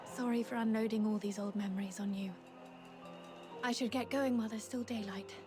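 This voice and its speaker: An actress playing an earnest, resolute young legion officer in a video game, speaking in a solemn, heartfelt way. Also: female voice, on the high side, steady tone, brisk, over music, natural voice. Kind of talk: dramatic video game voice acting.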